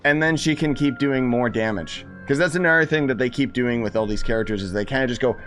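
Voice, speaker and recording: Male game streamer, medium-pitched, casual, blunt, griping, faint game music behind. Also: fast talker, a bit bright. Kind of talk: casual live-stream commentary, chatting to viewers. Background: video game music and dialogue.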